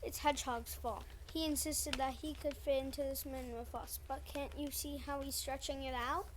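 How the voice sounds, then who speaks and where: Indignant little woodland creature, read by a woman, high-pitched, blaming, storybook readers theater. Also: steady tone, moderate pace, natural voice. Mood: indignant complaint. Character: complaining small woodland animal in a storybook. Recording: faint background noise.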